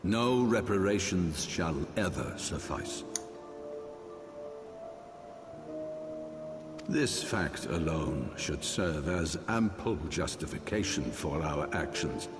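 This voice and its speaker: A man's voice: sits low, animated, takes it slowly, hardly any pauses. An actor playing a proud, stern old archbishop and grave father in a fantasy game, speaking in solemn, oratorical, archaic phrases. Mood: cold, measured. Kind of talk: dramatic fantasy game voice acting. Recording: long hall reverb, music underneath.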